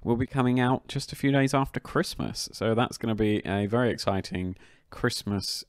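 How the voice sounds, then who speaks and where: A man's voice: medium pitch, steady tone, normal speed, no room sound, studio quality. A man co-hosting a history podcast in an upbeat, chatty way. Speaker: podcast co-host. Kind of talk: casual history podcast chat.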